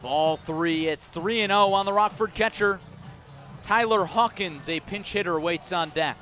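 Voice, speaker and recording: High-pitched American baseball play-by-play man. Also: a little slow, pushed and bright, faint music behind. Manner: dramatic narration in short clipped phrases. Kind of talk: live radio sports commentary.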